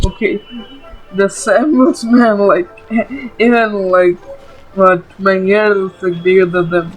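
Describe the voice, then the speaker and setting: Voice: medium pitch, fairly even intonation, takes it slowly. A gamer giving casual, impatient let's play commentary, padded with repeated filler words. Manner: repetitive filler "like, man", impatient. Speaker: gaming YouTuber. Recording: dull lo-fi mic over game music. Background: faint game music.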